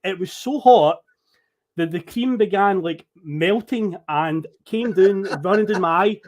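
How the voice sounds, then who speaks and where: A Scottish man spins a long anecdote on a podcast, animated and quick to swear. His voice sits a bit above the usual male pitch. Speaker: podcast guest. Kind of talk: casual storytelling chat.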